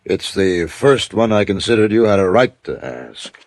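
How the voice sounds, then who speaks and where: A defensive rich businessman in an old radio play, lowish voice, dry sound. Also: big pitch swings, normal speed, dry recording. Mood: guarded, stubborn. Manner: guarded, defensive. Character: evasive, stubborn rich businessman under threat. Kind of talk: classic radio drama acting.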